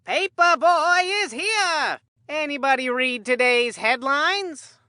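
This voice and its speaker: Corny, food-mad kid sports commentator for a video game; unhurried, hammy announcer delivery, very dry sound. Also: sits high, animated, bright, forceful tone, no echo, full character voice. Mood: excited hawking.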